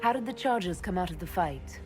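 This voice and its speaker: A woman's voice: on the low side, animated, brisk, music in the background, natural voice. An actress playing a female elf mage leader, firm, caring and direct, in a video game cutscene. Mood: businesslike. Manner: direct, earnest.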